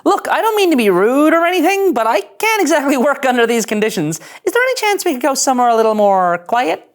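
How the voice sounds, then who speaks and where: A woman's voice: high voice, very animated, fast. A woman at a table read playing an eager, guileless young starship engineer with a chirpy, naive manner. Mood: awkward, polite.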